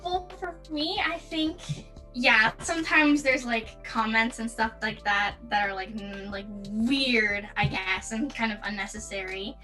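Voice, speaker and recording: Young girl chatting in an interview, bright highish voice, says like a lot, a bit tentative. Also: unhurried, faint music behind. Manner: youthful, tentative phrasing with many "like" and "I think". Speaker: interview guest. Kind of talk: casual chat.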